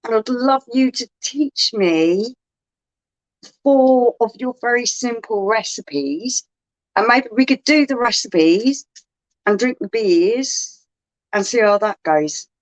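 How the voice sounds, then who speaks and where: A woman chatting casually on a home livestream, quick and laughing often. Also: a little high, animated. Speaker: co-host of a beer livestream. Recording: dull lo-fi livestream audio.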